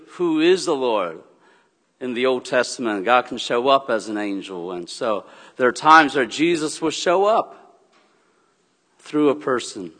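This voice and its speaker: A pastor giving church announcements and telling a story in a rambling, storytelling way, with many fillers and restarts. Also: male voice, on the high side, fairly bright tone. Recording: long hall reverb.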